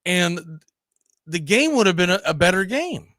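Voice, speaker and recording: Bright-voiced man hosting casual baseball talk. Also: high voice, big pitch swings, measured pace, no echo, clean studio sound. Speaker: host of a live baseball history radio show. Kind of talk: casual sports chat with co-host.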